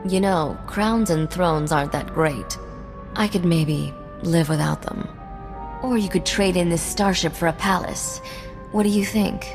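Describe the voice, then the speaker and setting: A woman's voice: on the low side, a little slow. A woman playing a teasing, self-possessed young rogue and royal heiress in a video game cutscene. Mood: wry, guarded. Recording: hall reverb, game music underneath.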